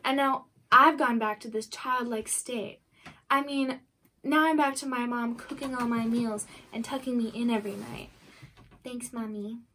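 Young woman giving a deadpan comic speech. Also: fairly high pitch, moderate pace, a little hiss or hum, poor audio. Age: young adult. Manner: deadpan self-mocking humor. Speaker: senior class speaker. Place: outdoors in a home garden and porch.

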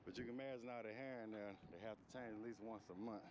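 A man's voice: on the low side, fast, lo-fi sound. A middle-aged man working as an HVAC technician, talking casually and repeating himself as he walks through a rooftop job, recorded outdoors on an action camera. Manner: relaxed, conversational, repeats himself, says "y'all". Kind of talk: casual how-to vlog narration. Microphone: action camera worn or held at arm's length. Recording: loud outdoor noise over voice.

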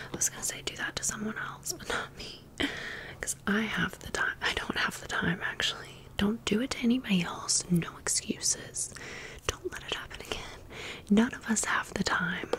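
A woman's voice: mid-range voice, fairly bright tone. A young adult American woman whispering a casual ASMR storytime close to the microphone, rambling with sassy, emphatic asides. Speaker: ASMR video host.

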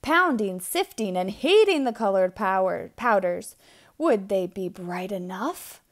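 A woman reads a picture book aloud for children. Her delivery is slow and deliberate, with lively swings in pitch. She leans on important words theatrically and draws them out. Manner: theatrical, with drawn-out emphasized words and gasps. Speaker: children's storybook reader.

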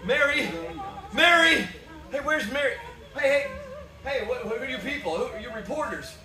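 High-pitched, excitable everyman acted by a man, echoey. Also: normal speed, crowd noise. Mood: overjoyed. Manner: stammering, pleading. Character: desperate, excitable everyman husband and father. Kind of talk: live stage radio-play acting. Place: small indoor theatre stage. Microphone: far camera from back of audience. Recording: distant, echoey, noisy theatre audience recording.

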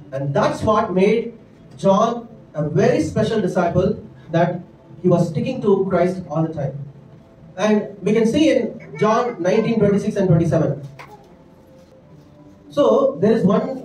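Indian pastor preaching in an echoey church. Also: male voice, sits high, warm tone, slight background noise. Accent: Indian English. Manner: earnest preaching with frequent "right?" and "amen" asides. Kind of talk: sermon. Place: church stage with pulpit. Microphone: handheld mic. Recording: echoey church room miked from a distance.

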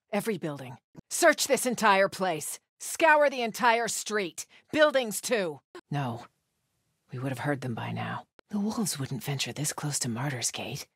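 An actress playing a stern, devout cult soldier in a video game, solemn, guarded and terse. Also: female voice, very deep voice, slightly rough, no echo, studio quality, exaggerated voice. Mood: commanding. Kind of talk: video game enemy dialogue.

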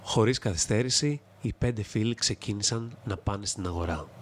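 A man reading scripted fairy-tale narration as a storybook narrator. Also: fairly low pitch. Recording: music bed behind the voice. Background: instrumental music.

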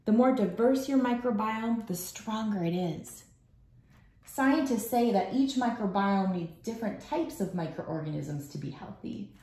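A woman in her thirties reading a children's book aloud at home in a measured storytelling way. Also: medium pitch, expressive, dark tone. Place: small room at home, indoors. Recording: echoey room.

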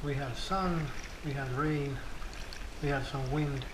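A man filming himself fly fishing from a kayak on a lake, giving excited running commentary with a Swedish accent. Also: mid-range voice, slow. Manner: breathless, excited exclamations while fighting fish. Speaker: angler filming his own trip. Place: kayak on an open lake outdoors. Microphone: head-mounted action camera. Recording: wind and water noise on camera mic.

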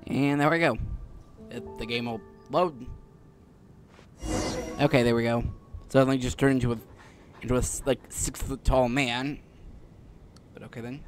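A man giving casual, musing gameplay commentary with halting delivery as he hosts. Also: medium pitch, steady tone, faint music behind. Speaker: gaming video host. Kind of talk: casual gameplay commentary, reading dialogue aloud.

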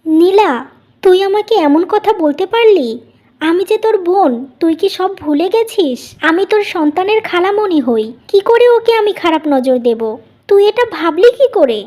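Cartoon melodrama: gentle young daughter-in-law played by a woman, very high voice, earnest, pleading, wounded. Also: lively intonation, normal speed. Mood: hurt, tearful. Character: gentle, grieving young elder daughter-in-law, self-sacrificing village mother. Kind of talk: Bengali animated family melodrama.

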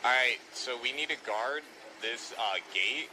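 A man's voice: mid-range voice, a little slow, background noise. A man streaming a video game, chatting casually in character and giving repeated, bossy squad-leader commands. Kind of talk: casual in-character gameplay chat.

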